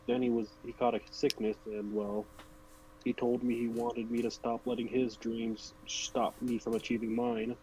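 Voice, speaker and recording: A man's voice: medium pitch, level tone, moderate pace, dark tone, close to an everyday voice, rough recording. A man playing an earnest, folksy farmer of forty-seven in a tabletop game. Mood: earnest, reflective. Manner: plain-spoken, folksy. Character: earnest 47-year-old farmer chasing late dreams. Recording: clear background noise with clicks.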